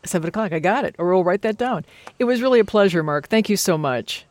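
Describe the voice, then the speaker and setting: A woman's voice: medium pitch, animated, fast talker, no echo. An American woman hosting a public radio interview, asking questions and handling introductions and sign-offs.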